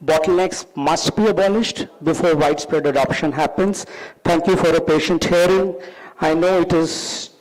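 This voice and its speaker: A man's voice: fairly high pitch, fairly even intonation, normal speed, hardly any pauses, a little mellow, poor audio. Indian man giving a conference talk. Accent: Indian English. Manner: earnest, instructive. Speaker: conference speaker. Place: conference hall.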